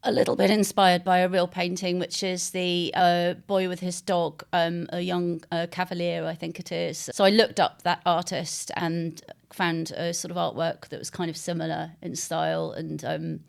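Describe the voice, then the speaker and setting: Smooth, low-voiced woman, thoughtful and hesitant. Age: middle-aged or older. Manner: hesitant, thoughtful, articulate, softened with hedging phrases. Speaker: interviewee, novelist. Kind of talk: reflective literary interview.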